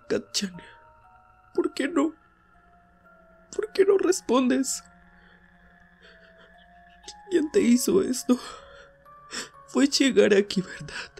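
A woman playing a desperate, grieving teenage boy hero in an anime fandub drama, pleading in halting, repeated phrases. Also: medium pitch, mellow, slightly rough, heavily acted. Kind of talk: Spanish fandub audio drama, emotional acting.

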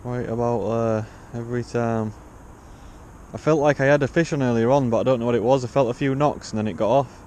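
A man's voice: medium pitch, steady tone, a little fast, a little mellow. English man chatting over outdoor noise. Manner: informal, slangy. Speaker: angler hosting a fishing vlog. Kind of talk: casual chat while fishing. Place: outdoors on a riverbank. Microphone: body-worn action camera. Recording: noisy outdoor action-camera sound.

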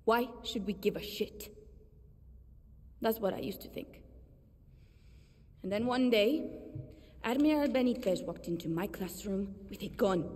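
A woman's voice: mid-range voice, measured pace, pauses often, a little mellow, long reverb tail, lightly acted. An actress playing a blunt, defiant young woman who leads a rebel band with rousing, profane speeches. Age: young adult. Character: young woman guerrilla leader, fiery and defiant. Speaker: video game character. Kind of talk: game cutscene acting.

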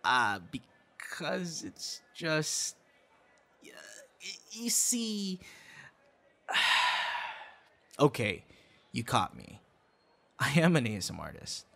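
A man acts out a secretive young man on a date in an intimate audio roleplay. His voice is breathy and unhurried, with a very lively, swooping pitch. He is tender and easily flustered. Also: exaggerated voice. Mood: flustered, defensive. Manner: slow, intimate, with halting stammers when flustered. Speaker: ASMR roleplay voice actor. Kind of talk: scripted ASMR boyfriend roleplay.

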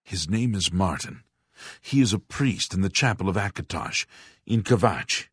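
A man playing a grave aging monk and former guard captain in a fantasy video game, speaking formally and deliberately with a husky voice. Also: low voice, lively intonation, dry recording, clean studio sound, heavily acted. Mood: solemn. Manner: formal, solemn, deliberate enunciation.

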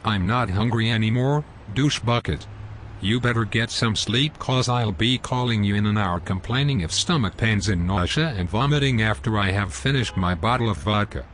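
A man voicing a rude, scruffy homeless man in an amateur animated comedy, with slow, sulky, insulting speech. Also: on the low side, slight background noise, natural voice. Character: rude scruffy homeless man who lies about everything.

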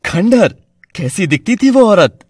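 A man's voice: high voice, big pitch swings, measured pace, a little mellow, slightly rough, dry recording, clean studio sound, exaggerated voice. A man voicing an earnest, persuasive, protective husband and father in a cartoon story. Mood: concerned, reassuring.

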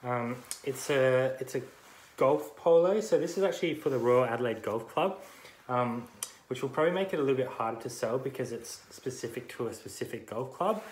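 A man in his thirties with an Australian accent, casually talking through his thrift finds for a vlog, relaxed and full of ums. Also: medium pitch, a little fast, reverberant. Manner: relaxed, many ums, chatty. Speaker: vlogger and reseller. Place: car, then a small living room. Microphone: phone camera at arm's length.

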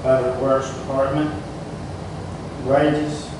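A man runs through a council meeting agenda in short, terse items. He speaks slowly at a middling pitch. He is picked up from far off in a very echoey room. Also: level tone. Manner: terse, item-by-item delivery. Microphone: far camera microphone. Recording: distant mic, echoey room, clearly noisy.

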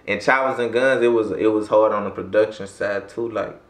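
A young man talks in a loose, rambling way, full of slang and throat clearing. His voice sits low. He is seated in a small room that rings with echo. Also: fairly even intonation, moderate pace. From Louisiana. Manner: laid-back, rambling, heavy slang, frequent throat clearing. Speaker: rapper guest. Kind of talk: casual interview talk. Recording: echoey room.

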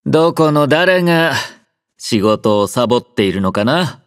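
An actor playing a laid-back young male army doctor in a game, speaking in a relaxed, drowsy, gently teasing way. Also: mid-range voice, a little slow, no echo, clean studio sound. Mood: easygoing teasing. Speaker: game character voice lines. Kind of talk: game voice collection. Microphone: close studio mic.

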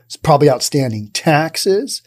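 A man in his thirties hosting a podcast, giving casual, sarcastic commentary on the news into a studio boom mic. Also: big pitch swings, unhurried, darkish tone. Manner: sarcastic, acts out imagined dialogue. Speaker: podcast host. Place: dim studio with brick wall and neon sign.

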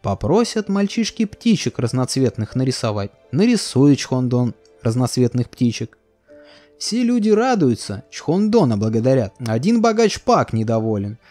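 A man telling a fairy tale as a storyteller, reading it aloud with lively expression. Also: mid-range voice, normal speed. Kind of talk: storybook narration. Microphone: voice-over. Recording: soft music behind.

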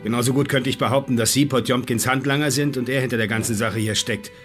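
A gruff secret agent, acted by a man in a modern crime radio drama. He has a medium-pitched voice and talks in terse, bossy questions, quick to irritation. Music plays clearly behind him. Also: steady tone, close to an everyday voice. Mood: irritated. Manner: terse, interrogating, coarse when pressed. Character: gruff, commanding American agent under suspicion, irritable.

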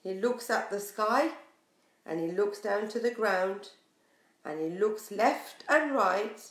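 A woman in her forties reading a picture book aloud to young children in an expressive, sing-song way. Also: mid-range voice, slow. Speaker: preschool storyteller. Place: small bare room indoors. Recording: echoey small room.

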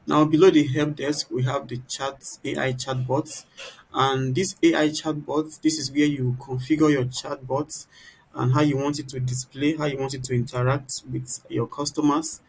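A man giving an informal, explanatory software tutorial, often turning statements into little rhetorical questions. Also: mid-range voice, level tone, normal speed, rough recording. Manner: explanatory, poses rhetorical "what?" questions mid-sentence. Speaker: tutorial presenter. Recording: clear background noise.

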